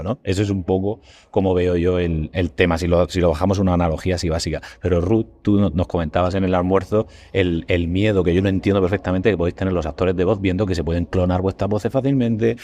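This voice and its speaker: Fast-talking, low-pitched Spanish man. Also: a little mellow. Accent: Spain Spanish. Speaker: podcast host. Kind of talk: casual podcast discussion.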